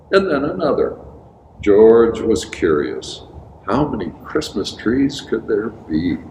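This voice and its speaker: A man reading a children's storybook aloud, with expressive, emphatic phrasing for young listeners. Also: lowish voice. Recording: echoey reverberant room with background hiss.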